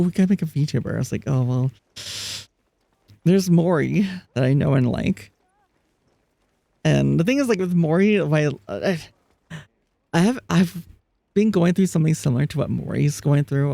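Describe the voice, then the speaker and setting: A chatty streamer talking casually through a live game, often breaking into laughter. The voice is gravelly and mellow, and it is caught dry and close with no room sound. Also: mid-range voice, no room sound. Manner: chatty, laughs often, reads chat aloud; frequent restarts and fillers. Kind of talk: casual live-stream chat while gaming.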